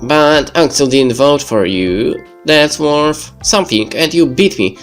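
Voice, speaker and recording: A man voicing a cocky, hot-headed young male pro gamer from a visual novel, brash and quick to take offence. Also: fairly high pitch, expressive, faint music behind, pitched above the performer's own voice. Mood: skeptical. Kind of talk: amateur read-aloud of visual novel dialogue.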